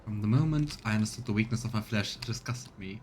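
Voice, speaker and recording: A man's voice: fairly low pitch, fast, slight background noise. A man giving casual let's play commentary, musing aloud and reading game text out as he streams. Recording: game music behind. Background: video game music.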